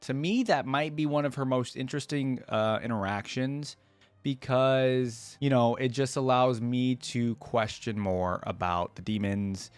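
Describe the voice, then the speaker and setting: Guy doing casual reaction commentary, medium voice, kinda rambly, thinking out loud, super dry sound. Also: medium pitch, unhurried, clear, resonant tone, no room sound. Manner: rambling, thinking aloud, informal. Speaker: YouTube reaction host.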